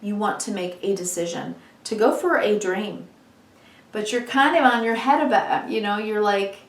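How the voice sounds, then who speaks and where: Hoarse, chatty woman reading tarot. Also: medium pitch, normal speed. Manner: chatty and encouraging, many "you know"s. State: losing her voice, slightly hoarse. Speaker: tarot reader. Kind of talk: weekly tarot reading to camera. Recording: echoey room, mic at a distance.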